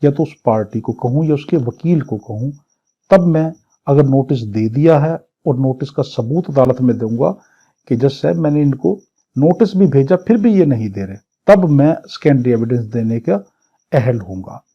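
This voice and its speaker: A man's voice: medium pitch, expressive, moderate pace, breathy edge. A man with a Pakistani accent teaching law as an exam-prep lecture, reading out English statute phrases and explaining each one point by point. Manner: didactic, repeats and rephrases point by point. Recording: dull, muffled lo-fi audio.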